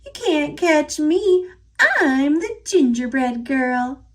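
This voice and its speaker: A woman's voice: sits high, animated, takes it slowly, voice pushed up. A woman voicing a cheeky, boastful young gingerbread girl who teases in sing-song rhymes. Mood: gleeful, taunting.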